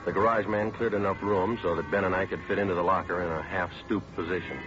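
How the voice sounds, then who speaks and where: A man plays a level-headed radio detective with a very deep voice. He is terse and matter-of-fact, reporting like a case file. The sound is a dull 1950s radio recording. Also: fast talker, over music, natural voice. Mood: dry, factual. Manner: clipped, deadpan, matter-of-fact. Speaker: police detective and narrator. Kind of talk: 1950s radio drama, scripted narration. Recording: dull old radio recording, background noise.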